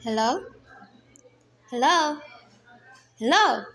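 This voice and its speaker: High-voiced, anxious, demanding wife played by a woman. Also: expressive, extremely slow, a bit bright, heavily acted. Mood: alarmed. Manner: fretful, insistent, imperious. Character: anxious, demanding invalid wife alone at home. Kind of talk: radio play acting.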